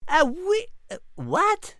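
A woman's voice: very high voice, huge pitch swings, slow pace, fairly bright tone, no echo, clean studio sound, full character voice. A woman playing a prim, intellectual female cat with a French accent in a children's audio story, choosing her words carefully. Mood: flustered, tactful. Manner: prim, hesitant, carefully worded.

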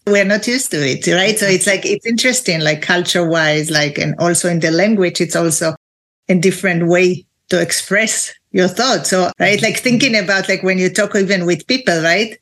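A woman's voice: on the low side, moderate pace, a bit bright. A woman from Israel with an Israeli accent, a Hebrew speaker talking casually as an interview guest over a video call, chatty, laughing often and using many fillers. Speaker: guest, NLP trainer.

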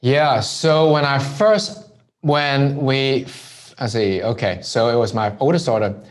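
A man's voice: mid-range voice, measured pace. Hong Kong-raised man telling his story. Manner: relaxed storytelling with frequent "right?" tags. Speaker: entrepreneur guest. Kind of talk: conversational interview.

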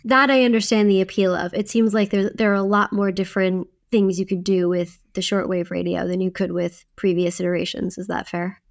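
A woman's voice: mid-range voice, flat delivery, fairly quick, gravelly, few pauses. A woman co-hosting a podcast, asking conversational questions in an inquisitive way and seeking plain explanations. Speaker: podcast co-host. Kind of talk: conversational interviewing.

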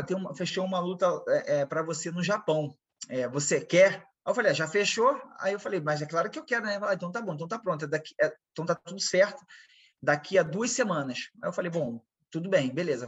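A man's voice: a little high, fairly bright tone, slightly rough, highs cut off. A Brazilian man, an MMA fighter, telling a long story in a casual interview, rambling and repeating himself as he quotes others. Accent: Brazilian Portuguese.

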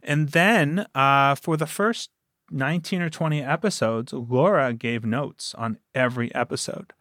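A man's voice: medium pitch, a little slow, fairly bright tone, dry recording, clean studio sound. A man speaking as a producer and guest in a conversational podcast interview, earnest and emphatic as he explains and recounts. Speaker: audio drama producer and guest.

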